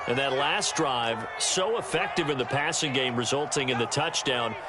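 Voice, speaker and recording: A man's voice: mid-range voice, moderate pace, over a noisy crowd, very noisy, close to an everyday voice. A man playing an American football play-by-play announcer in a video game, with punchy, polished calls. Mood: brisk, focused. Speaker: play-by-play sports commentator. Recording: game music over the commentary.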